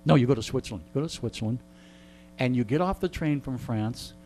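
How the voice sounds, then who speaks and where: A man's voice: mid-range voice, lively intonation, fairly quick, a little mellow, rough recording. A man being interviewed in a radio studio, telling stories in a casual, joking, rambling way. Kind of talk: casual anecdotal storytelling. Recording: faint mains hum.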